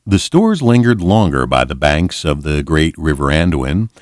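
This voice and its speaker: Deep-voiced, expressive male storybook reader. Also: normal speed, dry recording, clean studio sound. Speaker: volunteer reader. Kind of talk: storybook read-aloud narration.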